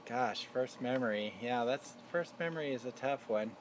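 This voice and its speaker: A man in his fifties, from Texas, tells stories from his childhood in an easygoing, halting manner full of fillers. His voice sits at an ordinary pitch for a man. He is recorded outdoors in a backyard, with faint outdoor noise behind him. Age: 50s. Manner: relaxed, reminiscing, halting with fillers. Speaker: interviewee. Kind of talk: casual family interview storytelling.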